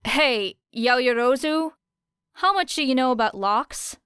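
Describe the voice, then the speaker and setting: A woman voicing an awkward, reluctant teenage girl in an audiobook, dry, halting and understated. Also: sits high, fairly bright tone, clean tone, no room sound. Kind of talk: audiobook character voice.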